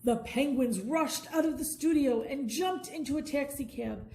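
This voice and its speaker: A woman reading a children's bedtime story aloud in an expressive, deliberate storytelling manner. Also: high voice, rough recording.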